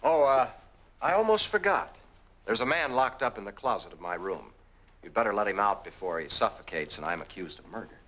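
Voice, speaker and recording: An actor playing a determined, indignant young man rescuing his sweetheart, in brisk, forceful 1940s film style. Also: on the low side, lively intonation. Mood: wry. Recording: dull 1940s film soundtrack with music.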